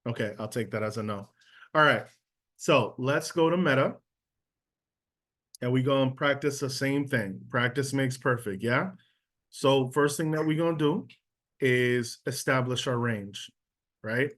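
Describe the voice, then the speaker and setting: A man's voice: medium pitch, unhurried, pauses often, fairly bright tone. A man teaching a casual live trading lesson over a video call, checking in often with his listeners. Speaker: trading instructor.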